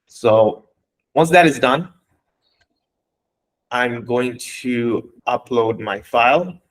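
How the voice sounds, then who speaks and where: A man from Bangladesh whose first language is Bengali, explaining code step by step in a relaxed way, with fillers and restarts. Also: mid-range voice, drawn-out words. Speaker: coding instructor. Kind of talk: walking through code live.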